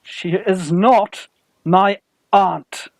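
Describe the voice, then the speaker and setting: A man playing an earnest, flustered young gentleman suitor in a theatrical radio farce, speaking formally and haltingly. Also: high-pitched, fairly even intonation, gravelly, heavily acted. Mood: exasperated.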